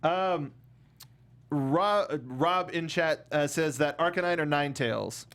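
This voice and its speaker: A man hosting a casual panel chat, rambling through animated asides in stop-start phrases. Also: on the high side, takes it slowly. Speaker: talk show host.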